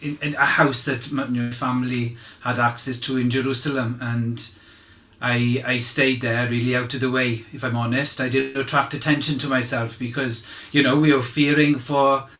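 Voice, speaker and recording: A man playing a candid fisherman disciple testifying in a dramatized inquiry, with a hesitant, halting, conversational delivery. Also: mid-range voice, little pitch movement, fairly bright tone, natural voice. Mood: ashamed, uneasy. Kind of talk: naturalistic dramatized courtroom testimony. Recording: echoey room sound.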